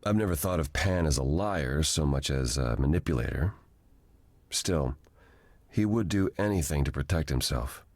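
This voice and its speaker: An actor playing a gentle, melancholy god in a video game, speaking in a measured, formal and earnest way. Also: male voice, rumbling low, animated, no echo, heavily acted. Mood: thoughtful. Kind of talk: video game dialogue acting.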